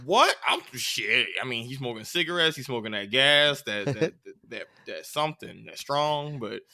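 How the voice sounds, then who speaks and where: Guy cohosting a podcast, bright tense voice, jokey rambling stories. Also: medium pitch, animated, no room sound, clean studio sound. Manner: joking, drawn-out storytelling, colloquial. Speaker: podcast co-host. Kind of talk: casual chat.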